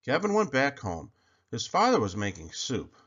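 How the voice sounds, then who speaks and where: A man narrating a children's picture book aloud in an expressive storytelling style. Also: medium pitch, normal speed, breathy edge, highs cut off.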